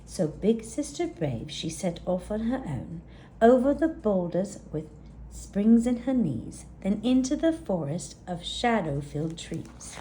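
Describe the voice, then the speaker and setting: A woman reading a rhyming children's picture book aloud as expressive, scripted storytime narration. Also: mid-range voice, measured pace, slight background noise, rough recording. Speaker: storytime reader.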